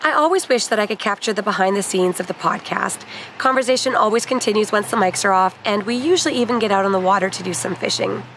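A woman in her thirties, a podcast host with a hoarse voice from illness, introducing a video to camera in a garden. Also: mid-range voice, quick pace, fairly bright tone, slight background noise, poor audio. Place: outdoors in a garden.

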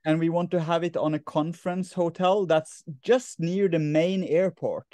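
A man in his thirties with a Norwegian accent, chatting casually on a video call, halting with many fillers and restarts. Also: a little high, mellow, no room sound. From Norway. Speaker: host of non-duality talks. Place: bright room indoors. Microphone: laptop webcam on a video call.

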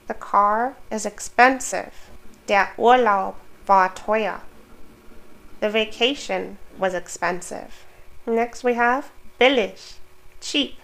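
Woman, 30s, language teacher, very slow deliberate delivery, gravelly creaky voice, studio mic. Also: fairly high pitch, fairly bright tone. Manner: reads each word and example sentence slowly, then gives the English. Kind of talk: slow, careful vocabulary lesson. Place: small room indoors. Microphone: studio microphone on boom arm.

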